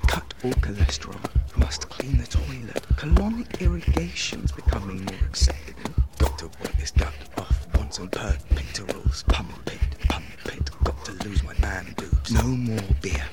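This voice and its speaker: Male actor playing anxious self-deluding man, half-whispered obsessive muttering, clipped repetitive phrases, swooping pitch, audio drama inner monologue, loud music. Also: animated, moderate pace, natural voice. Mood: anxious, fretting.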